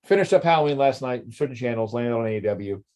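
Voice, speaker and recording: A man's voice: medium pitch, lively intonation, quick pace, darkish tone. A man in his forties co-hosting a podcast, blunt and sarcastic, swearing often in casual banter. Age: 40s. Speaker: podcast co-host.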